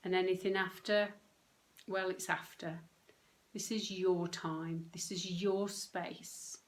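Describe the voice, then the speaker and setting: A woman leading a guided meditation, speaking gently and unhurriedly in short phrases with long pauses. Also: on the low side, little pitch movement. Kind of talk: guided meditation, soothing instruction.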